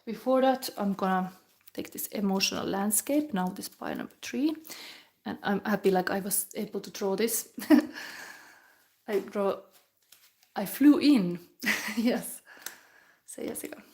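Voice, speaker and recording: Soft-spoken Finnish-accented woman reading tarot. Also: mid-range voice, measured pace, long pauses. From Finland. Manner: rambling and musing, often drops to a soft whisper. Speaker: tarot reader. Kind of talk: live pick-a-pile tarot reading chat.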